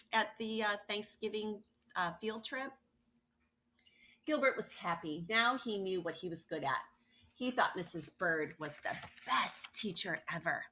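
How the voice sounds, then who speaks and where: Female children's storyteller, bright mid-range voice, expressive read-aloud delivery. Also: mid-range voice. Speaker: reader of a children's picture book.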